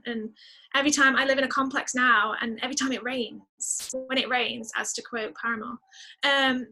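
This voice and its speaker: A woman speaking as a podcast guest in a casual interview, chatty and expressive, often exclaiming. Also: sits high, poor audio.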